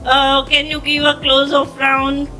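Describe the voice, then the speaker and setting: A woman moderates a panel discussion slowly, with a bright, high voice, pausing and repeating her words as she goes. Faint music sits underneath. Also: completely flat delivery, low-quality recording. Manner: halting, repeats words, fillers. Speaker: workshop moderator.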